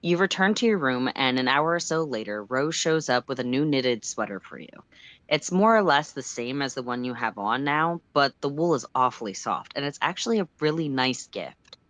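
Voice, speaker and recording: Story reader, mid-pitched voice, very animated intonation, expressive fiction narration, dry close sound. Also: normal speed, no room sound.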